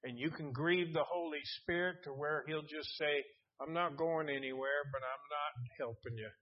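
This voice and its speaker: Casting: man in his seventies, high-pitched earnest preacher, reads scripture, dull lo-fi recording. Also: moderate pace. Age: 70s. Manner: earnest, reads King James verses aloud, many restarts. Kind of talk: sermon with scripture reading.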